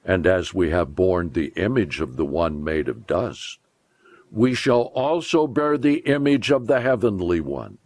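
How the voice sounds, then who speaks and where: Slow, deep-voiced man reading scripture. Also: expressive, darkish tone, dry recording. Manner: deliberate, emphatic, declamatory. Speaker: preacher reading scripture aloud.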